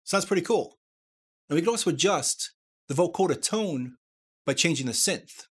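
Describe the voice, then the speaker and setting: A man presenting a software tutorial, explaining things step by step. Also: a little high, animated, moderate pace, slightly rough.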